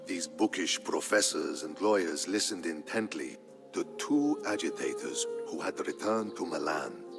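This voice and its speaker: A man narrating a historical tale in a scripted, dramatic style, solemn and measured. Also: extremely low pitch, animated, creaky. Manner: solemn, measured storytelling delivery. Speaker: narrator of a strategy game campaign story. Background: background music.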